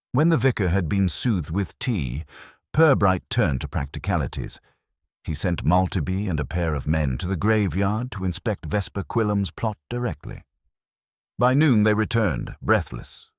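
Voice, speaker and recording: A man narrating a scripted radio-style mystery in measured, literary storytelling. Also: deep voice, no room sound, studio quality.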